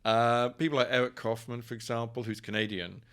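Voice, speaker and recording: A man's voice: mid-range voice, little pitch movement, brisk, a bit bright, clean tone. A middle-aged man with an English accent talking discursively and wryly as a guest in a conversational interview, often repeating words as he thinks. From England. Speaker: professor, podcast guest.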